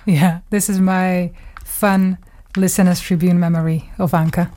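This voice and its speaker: A woman's voice: fairly low pitch, flat delivery, unhurried, noisy background. A woman radio journalist recalling a colleague in a thoughtful, conversational way, with small laughs between phrases, recorded in a radio studio. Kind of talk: conversational reminiscence.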